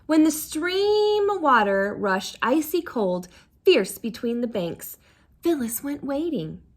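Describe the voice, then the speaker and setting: A woman's voice: sits high, very animated, slow. A woman reading a picture book aloud to children, expressively, as a teacher who puts questions to listeners. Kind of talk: animated storybook read-aloud with asides to listeners.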